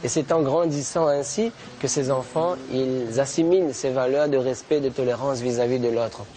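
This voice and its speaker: A man serving as an imam, calmly explaining customs inside his mosque. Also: medium pitch, normal speed, slight background noise. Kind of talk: explanatory talk to a visitor.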